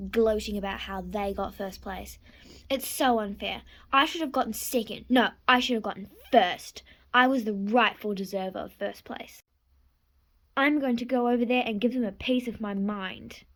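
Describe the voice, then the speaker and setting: A woman's voice: medium pitch, lightly acted. A woman voicing a spoiled, indignant show horse, haughty and whiny, in a play-acted animal story. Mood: sulky, resentful. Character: spoiled, indignant mare who thinks she deserved first place.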